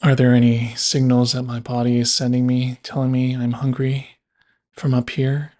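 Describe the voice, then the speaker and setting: A man guiding a body scan meditation in a measured way, leaving long pauses between phrases. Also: mid-range voice, completely flat delivery, darkish tone. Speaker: meditation guide.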